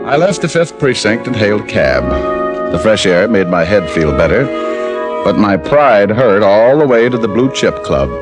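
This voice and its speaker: A man's voice: on the low side, sing-song, moderate pace. An actor playing a dry, wisecracking male private detective narrating a 1950s radio drama. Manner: dry, wisecracking, laid-back. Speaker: narrator and lead. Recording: vintage radio recording with music bed.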